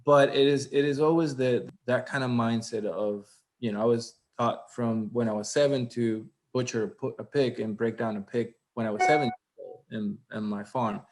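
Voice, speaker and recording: A man's voice: mid-range voice, steady tone, darkish tone. Earnest Costa Rican-accented man. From Costa Rica. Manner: earnest, fast bursts, halting non-native grammar. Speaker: executive chef and co-owner. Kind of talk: casual interview talk.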